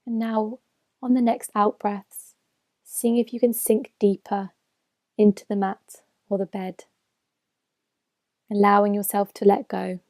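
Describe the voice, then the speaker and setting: A woman guides a meditation in a mellow, relaxed voice. Her intonation stays very even and flat, and her phrases are gentle and measured. The sound is dry and close, with no room around it. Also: medium pitch, no room sound. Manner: gentle, measured, unhurried instruction with soft phrase endings. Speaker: mindfulness meditation teacher. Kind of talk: slow guided meditation narration.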